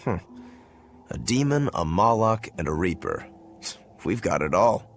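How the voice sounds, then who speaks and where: A man's voice: low-pitched, sing-song, unhurried, slight background noise, heavily acted. A man playing an easygoing, wry young male demon swordsman in a video game, casual and curious. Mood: wry, amused. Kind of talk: video game cutscene acting.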